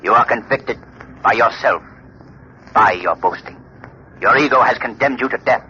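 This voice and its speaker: An actor playing a menacing invisible crime-fighting avenger in an ominous, declamatory 1930s radio drama style. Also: male voice, mid-range voice, normal speed, sharp tone, slight background noise, natural voice. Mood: grave menace. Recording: muffled 1930s radio, music behind.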